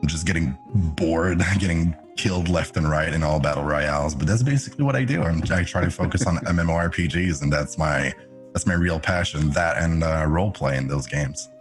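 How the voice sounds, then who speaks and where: Deep-voiced French-Canadian man chatting casually. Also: fairly quick, soft background music. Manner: chatty, laughs often, animated jumps in pitch. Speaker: podcast guest, streamer. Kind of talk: casual chat.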